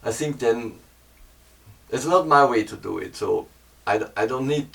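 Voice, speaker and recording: German man explaining his photo workflow in careful, halting English, mid-pitched, recorded from a little way off the mic. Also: vocal fry, a bit bright, distant mic. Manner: hesitant, searching for English words. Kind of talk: casual explanation to camera.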